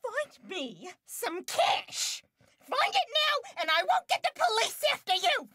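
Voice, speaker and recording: A distrustful landlady, voiced by a woman who scolds and accuses in a very high, swooping voice. She delivers her lines slowly and deliberately, close to the mic in a dry sound. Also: wildly swooping pitch, no echo, heavily acted. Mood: accusing. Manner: sharp, accusing. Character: suspicious, scolding middle-aged landlady.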